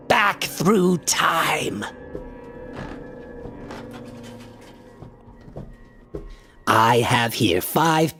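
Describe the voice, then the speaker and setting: An actor playing an obsessed, eccentric antiquarian visionary in a radio horror drama, with a husky, impassioned and pompous delivery. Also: male voice, fairly high pitch, a bit bright, full character voice. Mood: feverish, determined.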